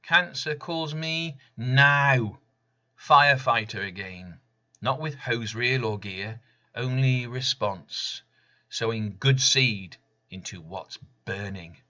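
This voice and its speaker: A middle-aged man performs his own poetry in short, emphatic phrases. His voice is very bright and hard-edged, of medium-low pitch, and he takes his time over each line. The recording sounds slightly dull and lo-fi. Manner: measured, emphatic delivery in short clipped phrases. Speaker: poet reading own work. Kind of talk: spoken-word poetry reading.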